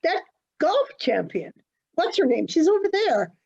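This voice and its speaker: A woman's voice: very high voice, sing-song, a little slow, gravelly, a little mellow, heavily acted. A woman playing a polite, placating older society lady in a stage comedy, genteel and soothing, on lo-fi audio. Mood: chatty, puzzled. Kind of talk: stage comedy acting, one-act play. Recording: dull, lo-fi online call audio.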